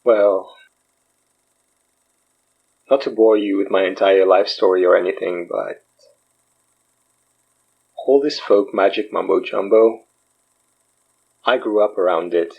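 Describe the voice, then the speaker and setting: A low, husky male voice as a wry, self-deprecating man in an audio drama. He rambles and jokes in a natural, conversational way. The sound is slightly dull. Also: steady tone, slow, long pauses, exaggerated voice. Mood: bitter, reflective. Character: wry, self-deprecating man, recently freed from possession, fake guru healer.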